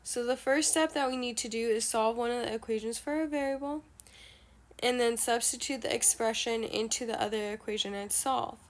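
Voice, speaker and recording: Young female teacher, a bit stuffy and nasal, higher voice, patient walkthrough with fillers. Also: brisk. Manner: patient step-by-step explaining, many fillers. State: nasal from allergies. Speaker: math teacher. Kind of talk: explaining a math lesson.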